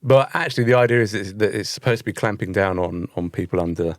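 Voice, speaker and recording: A British man chats casually as a podcast co-host. His voice sits on the low side, and the recording is dry, with hardly any room around it. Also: fast talker, no echo. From United Kingdom. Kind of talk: casual chat.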